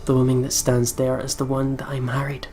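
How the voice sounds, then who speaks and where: A man reading visual novel narration aloud as a let's player, dramatically, dropping to a hush at phrase ends. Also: medium pitch, completely flat delivery, brisk, soft background music.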